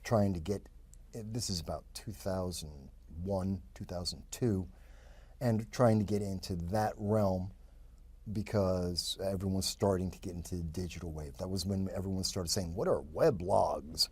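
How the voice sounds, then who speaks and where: Low, husky New man in his fifties. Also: unhurried, darkish tone, dry recording. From the Bronx, New York. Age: 50s. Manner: rambling, frequent fillers and restarts. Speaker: guest. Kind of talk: casual interview talk.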